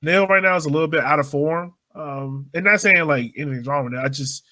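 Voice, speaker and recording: A man's voice: a little high, brisk, pushed and bright. A man hosting a sports podcast, talking casually and informally in a conversational way. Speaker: podcast host.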